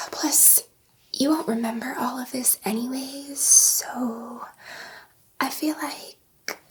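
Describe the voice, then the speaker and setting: A woman voicing a gentle, excitable alien scientist in an ASMR roleplay, speaking in a soft, breathy whisper with a halting, reassuring manner. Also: sits high, heavily acted. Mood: tender, amazed. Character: gentle, excitable female alien scientist soothing a human subject.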